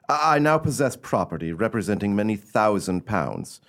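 A man's voice: lowish voice, sing-song, normal speed, a bit bright. A man playing a haughty, dismissive landowning lord in a radio play, speaking stiffly and formally. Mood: guarded, dismissive.

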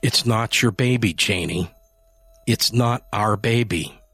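A man doing a coldly calm husband. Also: on the low side, unhurried, husky, no room sound, faint music behind, exaggerated voice. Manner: calm, quietly firm. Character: calm, cold husband set on harming a cat.

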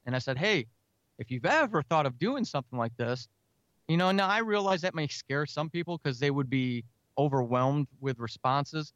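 An energetic man with a slightly high voice talks freely in a casual interview, as the guest. The recording is dry and a little dull. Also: normal speed, no room sound. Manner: energetic, talkative, self-described extrovert. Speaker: audio drama writer and podcaster, interview guest.

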